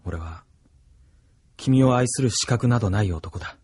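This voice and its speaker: Sorrowful soldier: low husky voice, gentle, close dry anime drama sound. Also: male voice, unhurried, no room sound. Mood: sorrowful, tender. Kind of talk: intimate anime audio drama acting.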